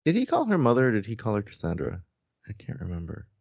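Lower-voiced man in casual podcast chat, dry sound. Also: wildly swooping pitch, quick pace, vocal fry, a little mellow, husky, no room sound, highs cut off. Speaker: podcast co-host.

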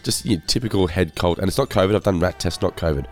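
A man co-hosting a casual podcast, his voice congested and hoarse from a head cold, talking in a weary, self-deprecating way. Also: low-pitched, fast talker, darkish tone, faint music behind. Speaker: podcast co-host.

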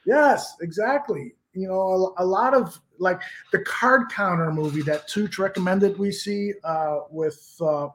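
Casting: high-pitched male podcast host, loose, swears freely. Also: measured pace, hardly any pauses. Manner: loose, profane, laughs easily. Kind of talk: casual chat.

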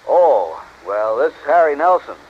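An actor playing a businesslike, easily startled yacht buyer in a 1950s radio drama. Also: male voice, fairly high pitch, very animated, unhurried. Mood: surprised, businesslike. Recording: muffled old radio recording, faint noise.

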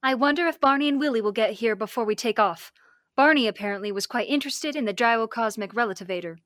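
A woman's voice: fairly high pitch, fast talker, a bit bright, dry recording, clean studio sound, natural voice. A woman acting a firm, caring space lieutenant in an old-time radio drama. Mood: practical.

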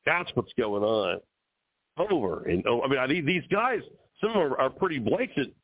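A man's voice: medium pitch, very animated, normal speed, slightly rough. A man hosting an opinionated call-in radio show, talking emphatically and addressing listeners directly. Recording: narrow phone-like radio sound.

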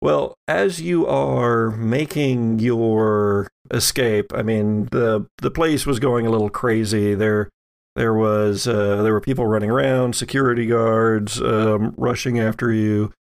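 A man narrating and recapping a tabletop roleplaying game as game master, in measured phrases with pauses. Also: on the low side, steady tone, vocal fry.

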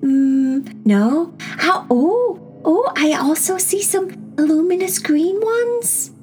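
A woman voicing a soft-spoken, dreamy young female princess cat in a storybook. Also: very high-pitched, lively intonation, takes it slowly, soft background music, pitched above the performer's own voice, full character voice.

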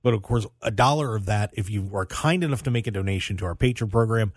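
A man's voice: fairly low pitch, fast, no echo, clean studio sound. A man hosting a radio show in a casual sports-talk style. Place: radio studio.

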